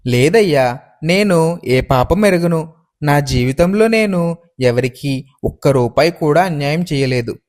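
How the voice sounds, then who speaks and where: A man's voice: a little high, animated, a little slow, a little mellow. An actor playing a poor, humble and honest village milkman in a moral story. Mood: pleading. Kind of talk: acted dialogue in a moral story.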